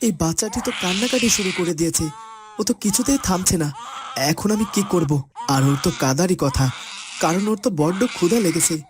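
A man's voice: high voice, fairly quick, crowd noise, close to an everyday voice. A man playing a flustered, exasperated baby-minder in a fairy-tale cartoon, fretting, complaining and calling out. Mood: flustered, fretful. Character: flustered, exasperated adult minding a crying hungry baby.

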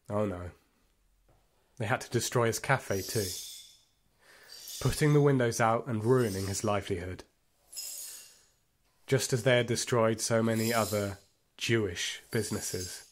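An actor playing a fervent, bitter football supporter recalling the Nazi era in an audio play monologue, with deliberate pauses. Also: male voice, fairly low pitch, a little hiss or hum, close to an everyday voice. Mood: grave, bitter. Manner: impassioned storytelling with deliberate pauses. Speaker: narrator. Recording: music behind the voice.

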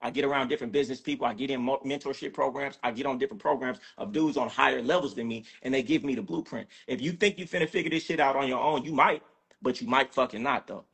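A man's voice: mid-range voice, fast, gravelly, fairly bright tone, husky. A man from Sacramento talking bluntly and profanely as a guest on a casual video-call podcast. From Sacramento, California.

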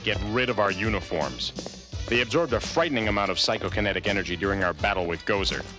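A man acts an earnest, brainy male scientist in a cartoon. His fairly low voice is formal, exact and deadpan, with music behind it. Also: fast, natural voice. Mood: matter-of-fact. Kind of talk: cartoon voice acting.

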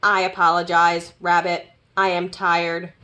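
A woman voicing a logical, literal-minded male robot in a children's storybook, with measured, formal wording. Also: lowish voice, level tone, bright, forceful tone. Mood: sleepy.

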